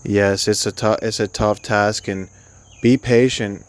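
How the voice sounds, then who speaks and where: A man leading a guided sleep meditation, speaking gently and soothingly in an unscripted, halting way with long pauses. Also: lowish voice, fairly even intonation.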